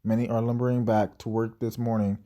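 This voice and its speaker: A man's voice: on the low side, little pitch movement, a little fast, mellow, close to an everyday voice. A man playing a local TV news anchor in an audio drama, with a formal announcer delivery. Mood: composed. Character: local TV news anchor, male, composed then shaken.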